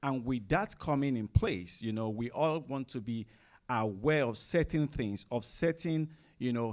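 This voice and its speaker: A man's voice: mid-range voice, a little mellow. A middle-aged man from Nigeria with a Nigerian accent, giving a conversational presentation to parents in a room, with frequent fillers and restarts. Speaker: guest speaker at a parents' summit. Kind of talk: conference presentation. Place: room with a seated audience.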